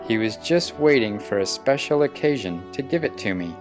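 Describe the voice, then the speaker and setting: A man voicing a proud, loyal young boy pelican in an earnest, expressive children's picture book read-aloud. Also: medium pitch, moderate pace, lightly acted. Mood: fond. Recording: music behind the voice.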